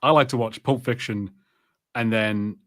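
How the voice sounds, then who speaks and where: A man with a bright, sharp voice at a middling pitch chats casually as a podcast co-host. He speaks haltingly, with a self-deprecating streak. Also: steady tone. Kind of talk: casual watch party chat.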